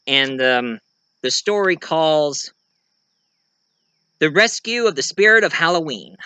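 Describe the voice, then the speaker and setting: Bright, halting man telling his stories. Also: a little high, lively intonation, clean tone, dry recording. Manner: halting, repeats words and phrases. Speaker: video creator and amateur writer. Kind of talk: casual rambling talk about own stories.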